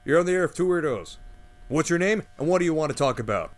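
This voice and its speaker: A man's voice: a little high, fast, close to an everyday voice. A man playing a rambling conspiracy-nut host. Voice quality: rambling, excitable, flustered. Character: bumbling male conspiracy-theorist radio host. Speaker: radio host.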